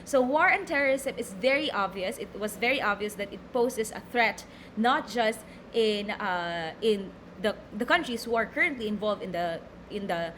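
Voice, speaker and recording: A woman's voice: mid-range voice, lively intonation, normal speed, a bit bright, a little hiss or hum. A young Filipino woman with a Filipino accent, teaching a recorded lecture, reading from slides and explaining with many fillers and repeated words. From Philippines.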